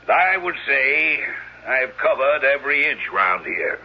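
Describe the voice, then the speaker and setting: An actor playing a folksy, self-assured retired townsman with a husky voice in an old radio drama. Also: mid-range voice, very animated, measured pace. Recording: muffled vintage radio recording.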